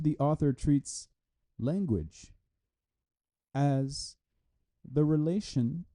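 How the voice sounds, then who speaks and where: A man reading a scholarly text aloud with deliberate, halting phrasing. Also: mid-range voice, warm tone. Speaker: reader of a philosophy article. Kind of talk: read-aloud scholarly text with brief explanatory asides.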